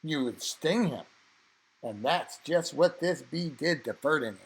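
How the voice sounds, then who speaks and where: Man reading a children's storybook, slightly high-pitched. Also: slightly rough, rough recording. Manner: expressive, with dramatic emphasis and drawn-out phrases. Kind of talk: read-aloud storytelling for children.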